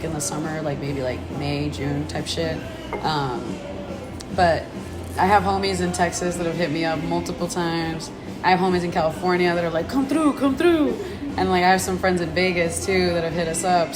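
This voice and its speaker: A young woman with a husky, rough voice, talking casually and slangily in a bar about her band, often laughing. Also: on the low side. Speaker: band member. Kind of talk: casual chat.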